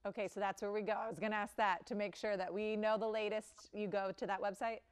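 A woman's voice: mid-range voice, little pitch movement, quick pace, smooth. A woman co-hosting a morning television show in a light presenting style. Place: TV studio.

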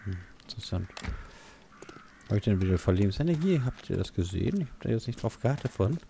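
A man's voice: lowish voice, very animated, quick pace, gravelly, warm tone, a little hiss or hum. A man with a German accent giving casual let's play commentary, muttering half-sentences to himself and exclaiming during fights.

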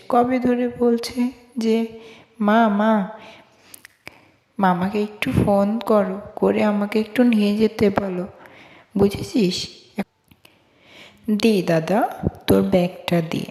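A woman's voice: on the high side, creaky, a little mellow. A woman playing a fussing, affectionate village mother in an amateur cartoon dub. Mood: welcoming. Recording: echoey, long reverb.

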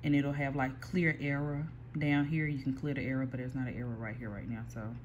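A mid-pitched, easygoing voice explains a computer workflow one step at a time, pausing and restarting as it goes. It sounds picked up by a phone held toward a screen in an ordinary room. A faint hum of background noise sits under the speech. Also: little pitch movement, highs cut off. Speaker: small print shop owner giving a software tutorial. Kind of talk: casual screen-recorded tutorial. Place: indoor workspace at a computer. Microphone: phone filming a computer screen.

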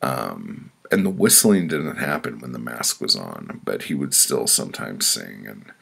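A man with a rough, gravelly voice reading his own crude, profane comic stories in a casual, blunt first-person way. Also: a bass voice, sing-song, a little slow, bright, forceful tone. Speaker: author reading their own audiobook.

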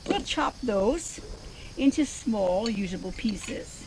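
A woman's voice: mid-range voice, lively intonation, slow, noisy background. An older woman playing a genteel elderly zombie woman hosting a cooking show outdoors, prim and chatty, wandering off into digressions. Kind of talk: improvised comedy sketch. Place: outdoors in a backyard.

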